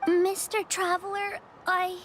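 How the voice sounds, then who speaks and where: Shy little game girl, really high voice, slow n hesitant, super polite. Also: flat delivery, very noisy. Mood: nervous, apologetic. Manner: hesitant, polite, soft-spoken. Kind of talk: video game voice acting.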